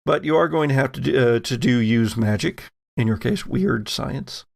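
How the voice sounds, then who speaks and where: Gravelly man running a tabletop game. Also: medium pitch, moderate pace. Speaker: game master and host. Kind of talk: narrating and refereeing a tabletop game podcast.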